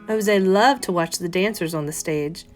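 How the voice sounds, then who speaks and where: A woman, a teacher, reading a children's picture book aloud in an expressive, carefully phrased, dramatic manner. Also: lowish voice, normal speed. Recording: soft guitar music behind voice. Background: plucked guitar music.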